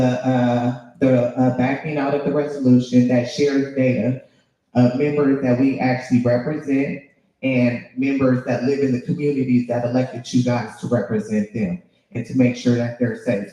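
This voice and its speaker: A man addresses a public meeting as a labor representative. His voice is mellow and mid-pitched, and his delivery is halting but emphatic. The room echoes heavily around him. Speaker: labor union representative giving public comment. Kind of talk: public comment speech at a meeting. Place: indoor public meeting hall.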